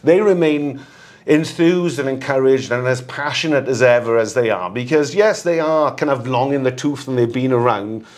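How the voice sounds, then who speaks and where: A man giving rugby analysis as a guest, halting and repeating words as he thinks aloud. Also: medium pitch. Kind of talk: casual rugby discussion.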